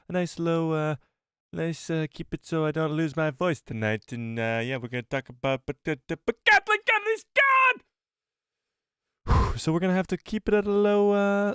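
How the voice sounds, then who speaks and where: A man with a cold, losing his voice, hosting a casual live stream; chatty and excitable, he greets viewers and reads out the chat. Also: on the high side, clean tone, no echo, studio quality.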